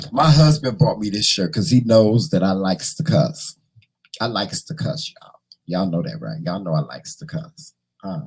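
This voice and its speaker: A hoarse man hosting a casual morning livestream, chatting and teasing his viewers in a folksy way. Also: on the low side, lively intonation, slow pace, pauses often. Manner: folksy, teasing, talks to the chat; repeats words and restarts often. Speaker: morning show host.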